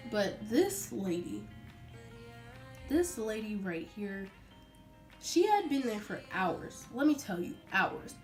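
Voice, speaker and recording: A woman's voice: medium pitch, slow, pauses often. Chatty young American woman with background music. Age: young adult. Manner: chatty, informal, animated retelling with acted-out quotes. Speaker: vlogger. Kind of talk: casual storytime monologue.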